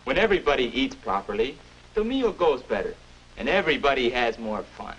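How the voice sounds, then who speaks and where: A man's voice: medium pitch, big pitch swings, a little fast, a bit bright. A young male actor playing a confident American 21-year-old, patiently persuading and advising in a 1950s educational film. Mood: instructive, persuasive. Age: young adult. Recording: dull 1950s film soundtrack.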